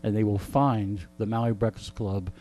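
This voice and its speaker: A man's voice: fairly low pitch, fairly even intonation, moderate pace, warm tone, slight background noise. A middle-aged man, a veteran radio host, chatting and telling stories in a rambling, dryly joking way as he is interviewed. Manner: joking, rambling, dry humour. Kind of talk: casual storytelling interview chat.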